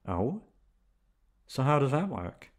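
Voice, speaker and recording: A man with a mid-pitched voice performs an ASMR boyfriend roleplay. He sounds playful and coaxing, with lively swoops in pitch as he pleads and teases affectionately. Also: slow pace. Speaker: voice actor performing an audio roleplay.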